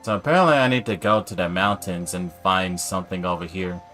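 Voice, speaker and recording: A man's voice: deep voice, a little fast, fairly bright tone, soft background music. A man doing casual live-stream game commentary in a laid-back way, muttering asides.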